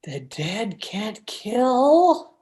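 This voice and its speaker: A woman's voice: on the high side, big pitch swings, extremely slow, warm tone, full character voice. A woman acting a meek, henpecked, frightened husband in an old-time radio thriller, timid and stammering. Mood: weary, uneasy.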